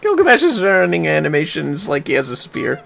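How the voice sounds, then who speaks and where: A man's voice: fairly high pitch, wildly swooping pitch, a little fast, creaky, fairly bright tone. A man hosting a casual game livestream, commenting in an amused, sweary, wry way. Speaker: livestream host. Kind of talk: casual game commentary, reading dialogue aloud.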